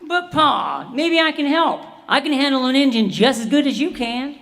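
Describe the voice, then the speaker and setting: An actress playing the eager young son of a train engineer who begs to come along, in a stage reenactment of an old-time radio drama. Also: female voice, high voice, moderate pace. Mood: pleading. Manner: pleading, excitable. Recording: reverberant theater hall.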